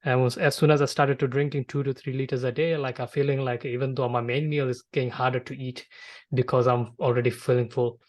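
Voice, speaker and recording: A man from Bangladesh with as his hosts a casual conversation. His mid-pitched voice moves very little in melody. He speaks haltingly, often circling back over his words. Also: flat delivery, a little mellow. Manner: halting, repetitive phrasing with frequent filler "like". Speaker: podcast host. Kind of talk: casual interview chat.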